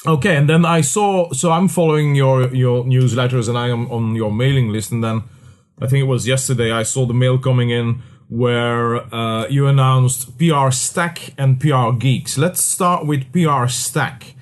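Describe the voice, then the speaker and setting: A man hosting a podcast interview, relaxed and full of filler words. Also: mid-range voice, moderate pace. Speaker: podcast host. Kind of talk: casual interview.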